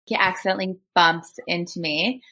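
Canadian woman, expressive casual storyteller. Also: lowish voice, normal speed. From Thunder Bay, Canada. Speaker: podcast host.

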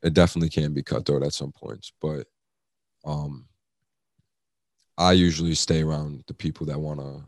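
A young man with a very low baritone, a rapper talking casually as an interview guest. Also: level tone, moderate pace, long pauses, dry recording, studio quality. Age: young adult. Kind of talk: casual chat.

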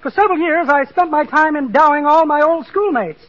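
A meek, polite little fellow speaks in a very high, bright voice. His melody bounces around mildly and hesitantly, in vintage radio comedy style on a dull old recording. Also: fairly quick. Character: small, meek, polite grown man with childlike high voice. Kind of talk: 1940s radio comedy acting.

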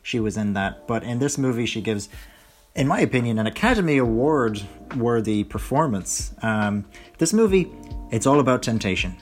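A young man hosting his own podcast, talking in a rambling, chatty way that corrects itself, his voice hoarse from a lot of talking. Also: mid-range voice, expressive, moderate pace, soft background music. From Ireland. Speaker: podcast host. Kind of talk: casual solo podcast chat.

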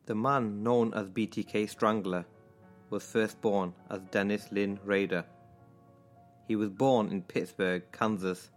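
A man's voice: lowish voice, fairly even intonation, a little slow, soft background music. A man with a Manchester accent, co-hosting a podcast in casual chat and then reading a true-crime story, with a hesitant manner full of ums and restarts. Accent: Mancunian. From England. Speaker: podcast co-host.

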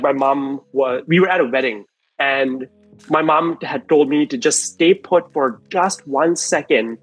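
A man's voice: mid-range voice, no room sound, poor audio. A young man from Malaysia, a podcast guest telling stories from his childhood in a loose, rambling way full of "like" and restarts. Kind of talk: casual storytelling interview. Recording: dry close mic, faint music behind.

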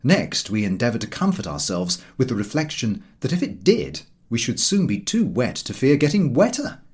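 A man reading comic literature aloud in an expressive, theatrical storytelling manner. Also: medium pitch, moderate pace. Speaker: audiobook reader.

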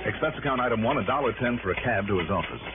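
A man's voice: mid-range voice, animated, very fast, music in the background, lightly acted. A man playing a cool, sardonic insurance investigator in a 1960s radio drama, speaking in a dry, firm way. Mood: wry, narrating. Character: cool, wry male freelance insurance investigator. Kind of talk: 1960s radio drama acting with first-person narration. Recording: narrow, tinny old radio broadcast.

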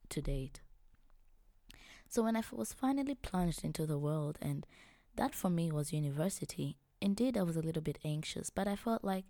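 A woman's voice: on the low side, dark tone, dry recording. A young woman, hosting a podcast and telling her own story in a reflective, personal way. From Tanzania. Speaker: podcast host.

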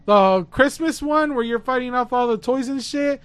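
A man's voice: very high-pitched, moderate pace, a bit bright, clean tone, no echo. A man co-hosting a podcast in casual chat, hyped and slangy with heavy swearing. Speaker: podcast co-host.

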